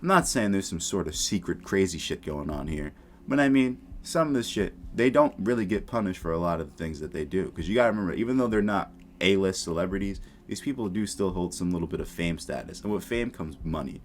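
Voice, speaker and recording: Deep-voiced man, blunt casual commentary. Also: animated, a little fast. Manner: blunt, slangy, profane. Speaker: YouTube commentator. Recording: music behind the voice. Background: background music.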